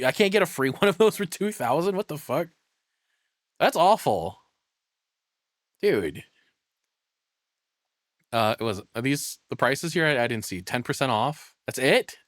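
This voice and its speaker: A man's voice: medium pitch, animated, normal speed, pauses often, no echo, studio quality. A man streaming casual reactions, speaking in a blunt, sweary and incredulous manner.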